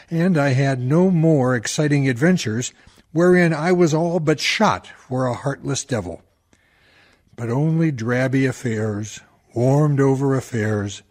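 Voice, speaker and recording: Radio drama: actor as an aged rural ladies' man, rueful, bitter, medium-pitched, taking his time. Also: darkish tone. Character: faded old small-town womanizer, wistful and bitter. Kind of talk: dramatic verse monologue for radio.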